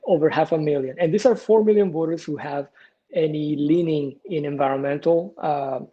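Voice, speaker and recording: A man speaking as a guest organizer in a casual interview, halting and searching for his words, on slightly muffled audio. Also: on the high side, dark tone. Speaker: guest, political organizer and advisor.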